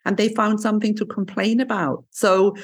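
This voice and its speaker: A woman's voice: mid-range voice, fairly even intonation, moderate pace, slightly rough. A woman talking casually about travel in a conversation. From Switzerland. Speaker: former tour guide.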